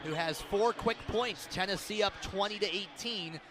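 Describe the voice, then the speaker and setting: A man doing live radio play-by-play of a basketball game, an excitable announcer who builds to loud calls on big plays. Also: high voice, normal speed. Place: courtside in a large indoor arena. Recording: crowd noise, bouncing ball in arena. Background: arena ambience, basketball bounces.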